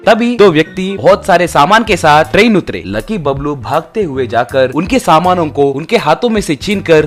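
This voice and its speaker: A man narrating a scripted, children's-style story. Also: high-pitched.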